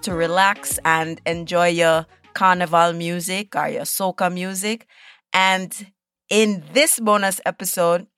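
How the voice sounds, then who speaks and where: A woman's voice: fairly low pitch, fairly bright tone, no echo, studio quality. A woman hosting a podcast, giving a scripted introduction to an episode. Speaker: podcast host.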